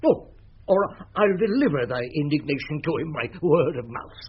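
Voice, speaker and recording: An actor playing a boisterous, tipsy old knight in British radio Shakespeare, roguish and drawling. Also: male voice, on the high side, lively intonation, moderate pace, natural voice. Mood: jovial. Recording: dull, noisy old radio recording.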